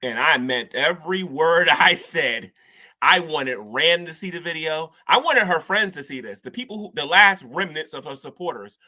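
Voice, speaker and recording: A man with a fairly high, bright and forceful voice talks straight to camera. He rambles and restarts, drops slangy "ain't"s, and slides into preachy talk about faith and battle. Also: expressive, normal speed. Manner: preachy, talks of gospel and spiritual war. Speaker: online commentator. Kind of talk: casual self-reflective commentary.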